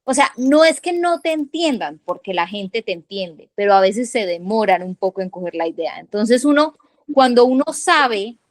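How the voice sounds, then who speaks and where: A woman's voice: a little high, expressive, dry recording. A woman co-hosting a podcast in casual chat, talking in long chatty runs full of fillers and asides, originally from Latin America. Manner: chatty, many fillers like "o sea", quick asides. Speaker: podcast co-host.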